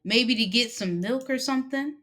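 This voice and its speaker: A woman's voice: on the high side, normal speed, fairly bright tone, voice pushed up. A woman voicing a wary, polite young boy in a story read-aloud, with short, plain-spoken replies.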